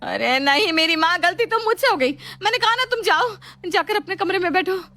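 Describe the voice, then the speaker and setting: Woman playing a squeaky, flustered young daughter-in-law. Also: lively intonation, normal speed, bright, forceful tone, full character voice. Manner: stammering, flustered repetitions. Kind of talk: Hindi moral storybook drama.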